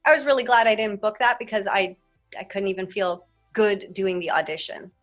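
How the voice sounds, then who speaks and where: A woman host carries a relaxed interview, chatting and telling stories from her own work. Her pitch stays in the ordinary middle range. Quiet music runs faintly behind her. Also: fairly quick. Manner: warm, chatty, storytelling. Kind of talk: casual conversation.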